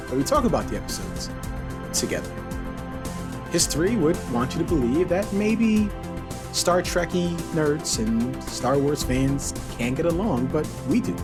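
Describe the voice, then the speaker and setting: A man hosting a casual podcast chat in a playful, teasing manner. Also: fairly high pitch. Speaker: podcast host.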